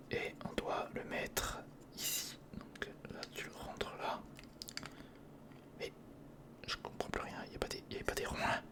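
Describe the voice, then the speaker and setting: An ASMR creator whispering informal, step-by-step commentary to the viewer while building something by hand, close to the microphone. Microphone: close recorder or studio microphone.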